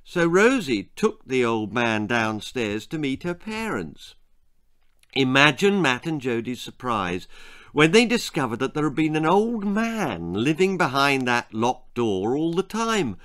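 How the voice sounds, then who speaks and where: A man's voice: on the high side, very animated, measured pace, fairly bright tone, no echo, studio quality. A man reading his own spooky, comic children's story aloud in a theatrical, suspenseful way. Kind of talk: dramatic children's horror-comedy storytelling.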